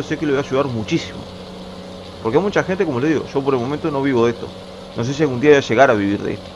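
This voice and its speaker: A young Uruguayan man talks casually and at length while playing a truck-driving game, often restarting his words. His voice sits in an ordinary middle register. The home recording is slightly dull, with faint game engine noise underneath. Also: mid-range voice. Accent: Uruguayan Spanish. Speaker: gaming YouTuber.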